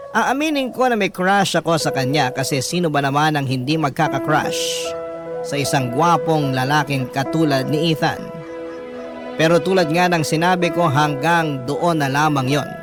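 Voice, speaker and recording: Higher-pitched male radio narrator over music. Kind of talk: scripted first-person narration of a listener's letter. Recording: music bed under the voice.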